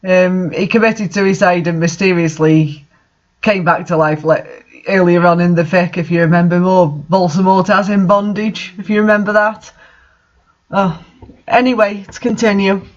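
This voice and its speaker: A man's voice: high-pitched, fairly even intonation, normal speed, muffled recording. A man hosting a comic reading of bad fanfiction, commenting casually in a bemused, hesitant, mocking manner.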